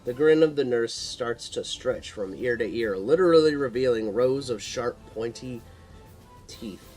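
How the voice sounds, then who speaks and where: A man's voice: mid-range voice, measured pace, a little mellow, soft background music, rough recording. A man narrating a supernatural horror story in a dramatic read-aloud style, sometimes stumbling over the text.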